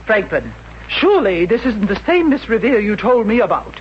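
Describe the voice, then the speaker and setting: An actress playing a domineering, jealous middle-aged wife in a 1950s radio comedy, imperious and snobbish. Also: female voice, medium pitch, very animated, normal speed, lightly acted. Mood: haughty, suspicious. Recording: muffled, noisy 1950s radio recording.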